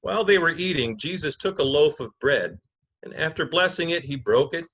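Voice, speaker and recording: A man's voice: a little high, expressive, a little fast, background noise. A man speaking as a pastor, delivering a church message and scripture narration. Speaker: clergyman and narrator of a gospel reading.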